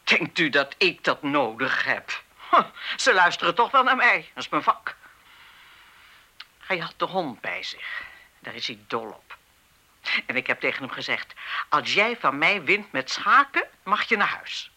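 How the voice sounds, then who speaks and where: An older, embittered schoolmistress in a radio play. Her voice is very bright and forceful, with animated swings of pitch. She lectures indignantly, like someone sure of her rules and weighed down by guilt. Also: measured pace, full character voice. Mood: defensive, indignant. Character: embittered retired schoolmistress, stern, righteous, haunted by guilt. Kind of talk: Dutch radio play acting.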